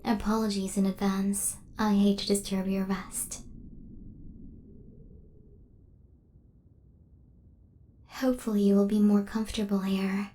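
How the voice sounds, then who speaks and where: A woman playing a devoted, protective female hunter robot in a soft-spoken ASMR roleplay, with formal, precise, machine-like phrasing. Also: mid-range voice, little pitch movement, normal speed, close to an everyday voice. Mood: gentle, attentive.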